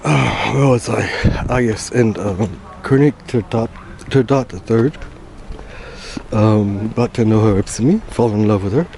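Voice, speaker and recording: A man's voice: lowish voice, creaky, darkish tone, slight background noise. A German man guiding viewers through an old church, hesitating with frequent ums. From Germany (Bamberg, Franconia). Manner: hesitant, many ums and pauses. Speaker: travel vlogger. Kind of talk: casual guided commentary. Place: inside a church.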